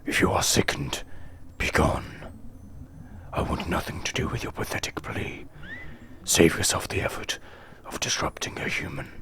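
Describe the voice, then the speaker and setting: A man voicing a grieving, bitter last human in a game, speaking in a low gravelly whisper. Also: moderate pace, a bit bright. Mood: weary.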